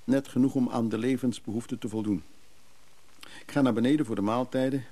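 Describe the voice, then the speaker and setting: An actor playing a weary, bereaved middle-aged traveling businessman in a radio drama, speaking in a husky, hesitant, reflective way. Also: mid-range voice, steady tone, a little fast, dark tone, natural voice. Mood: wistful, reflective. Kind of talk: naturalistic Dutch radio drama acting.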